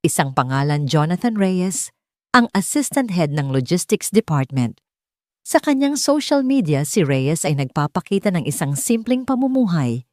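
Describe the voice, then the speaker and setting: A woman's voice: lowish voice, very animated, moderate pace, darkish tone, no echo, studio quality. A woman with a Filipino accent reading a dramatic romance story as a scripted audiobook narration.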